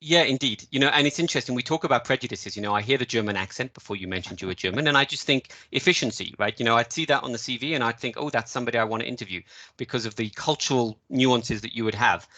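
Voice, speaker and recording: A man's voice: mid-range voice, fast, bright, forceful tone, dry recording. A man from the United Kingdom answering a question as a webinar speaker, in a chatty way full of "you know" fillers. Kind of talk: casual panel answer.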